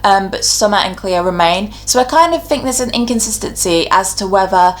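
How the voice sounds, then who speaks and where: A woman's voice: mid-range voice, lively intonation, a little fast. A young adult woman giving casual, opinionated video commentary, talking chattily and explaining her reasoning point by point. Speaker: YouTube host giving ranked commentary.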